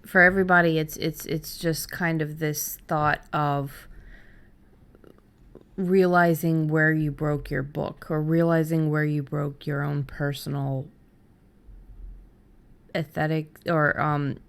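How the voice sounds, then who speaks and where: Lady podcast host chatting casually, low voice w lots of vocal fry. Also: level tone, takes it slowly, pauses often. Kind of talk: casual conversational discussion.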